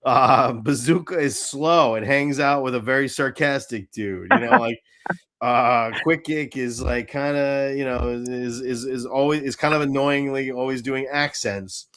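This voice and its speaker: Blunt, sweary man with mid-pitched voice. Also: unhurried, a bit bright. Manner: blunt, opinionated, profane. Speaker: podcast host. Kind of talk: casual chat about cartoons.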